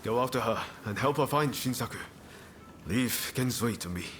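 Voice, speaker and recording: A man's voice: mid-range voice, animated, moderate pace, fairly bright tone, slight background noise, natural voice. An actor playing an earnest, urgent male rebel comrade in a video game, directive and concerned. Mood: decisive. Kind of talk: video game cutscene acting.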